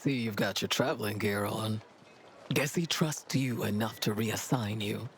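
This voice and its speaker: A man plays a plain-spoken fantasy game servant of the inquisition. His voice is on the low side, informal and matter-of-fact, with faint ambient noise behind. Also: measured pace, close to an everyday voice. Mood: wary, curious. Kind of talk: fantasy game dialogue acting.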